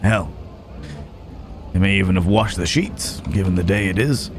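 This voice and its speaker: A man playing a gallant yet menacing Wild West cowboy in an intimate ASMR roleplay, unhurried, with pauses and folksy old-fashioned phrasing. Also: low voice, noisy background, lightly acted. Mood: genial. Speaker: voice actor in an audio roleplay.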